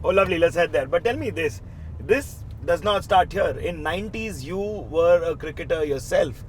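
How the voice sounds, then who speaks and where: A male host with a fairly high voice, upbeat and chatty, turns to speak straight to the viewers. Faint background noise sits under his voice. His tone stays easygoing throughout. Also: lively intonation, moderate pace, rough recording. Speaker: show host. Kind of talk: casual interview hosting.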